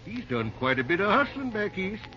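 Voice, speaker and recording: A man's voice: sits high, very animated, fairly quick, sharp tone, full character voice. An actor playing a kindly, folksy older American railroad man with country talk in an old-time radio drama. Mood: earnest, hopeful. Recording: muffled, noisy old radio recording.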